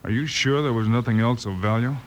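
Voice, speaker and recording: An actor playing a brave, steady lawman in a radio western, with an American accent and a firm, plainspoken, commanding delivery. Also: medium pitch, brisk, close to an everyday voice. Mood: grave, probing. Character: brave steady lawman hero of the Old West. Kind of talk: old-time radio western acting. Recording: noisy vintage radio recording.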